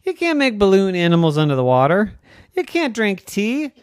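Storyteller doing a talking kelp, chatty know-it-all, fast and teasing, very lively pitch, dry close sound. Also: no room sound, natural voice. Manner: fast, bouncy, teasing and repetitive. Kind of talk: improvised bedtime story voice.

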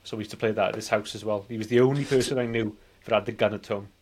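A man's voice: fairly low pitch, fairly even intonation, rapid-fire. A British man in his forties chatting casually as a podcast co-host, rambling and nostalgic. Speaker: film review podcast co-host. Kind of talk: casual chat.

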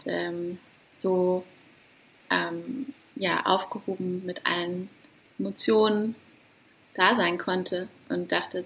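A woman in her thirties from Hamburg, talking casually and hesitantly as a guest, with many pauses and light laughs. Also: on the low side, vocal fry, bright, forceful tone, slight background noise, low-quality recording. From Hamburg, Germany. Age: 30s. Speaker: guest, doula. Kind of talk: casual interview talk.

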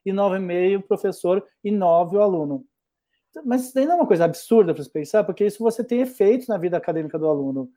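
A man's voice: sits high, animated, fast talker, dark tone. A middle-aged man from Brazil, a mathematics professor, talking reflectively in a casual conversation with many restarts.

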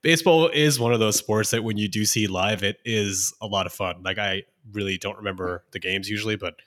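Low-voiced wry guy chatting. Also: brisk, a bit bright. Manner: easygoing, wry, swears casually. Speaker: podcast co-host. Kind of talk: casual chat.

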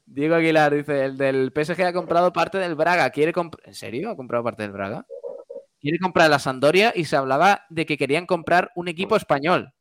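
A man hosts a relaxed live radio show. His voice is clear and smooth, recorded dry and close. He reads listener comments aloud and banters colloquially. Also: a little high, a little fast, dry recording. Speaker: radio show co-host reading listener messages. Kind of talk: casual live chat, reading out comments.

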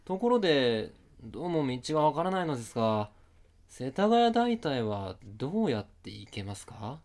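A man's voice: medium pitch, big pitch swings, moderate pace, a little mellow. A man acting as a shady stranger in a radio drama, with a strange, overly polite manner. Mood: odd, polite. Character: odd, shady male stranger asking directions.

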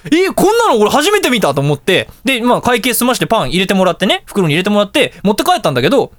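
A man hosting a casual radio talk show, telling anecdotes in an animated way and acting out dialogue and reactions. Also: sits high, fast talker, no room sound, studio quality.

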